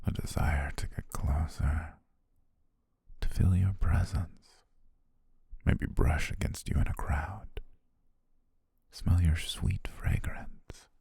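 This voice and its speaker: A man playing an obsessive, menacing photographer in love, speaking slowly and intimately in an ASMR roleplay with a husky, breathy voice. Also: steady tone, no echo, exaggerated voice. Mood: adoring, obsessive. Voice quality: very deep, husky, creaky, breathy. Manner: slow, hushed, lingering pauses. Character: obsessive, menacing yandere male photographer stalking his beloved.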